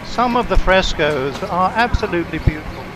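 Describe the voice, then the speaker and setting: A man reading a scripted travelogue voice-over in a measured, descriptive storytelling way. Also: high voice, lively intonation, background noise. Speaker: travelogue narrator. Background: background music.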